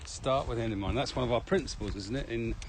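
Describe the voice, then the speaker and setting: British man, podcast interviewer. Inquisitive, conversational, mid-pitched, with faint background noise. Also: quick pace. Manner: inquisitive, echoes and sums up answers. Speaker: podcast co-host, asking questions.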